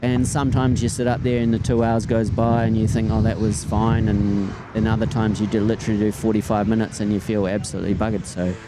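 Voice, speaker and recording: A man with a New Zealand accent, an air traffic controller chatting as a guest on a headset, relaxed and rambling. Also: lowish voice, quick pace, few pauses. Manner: relaxed, rambling, trails off and restarts. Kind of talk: casual chat. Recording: loud outdoor traffic noise.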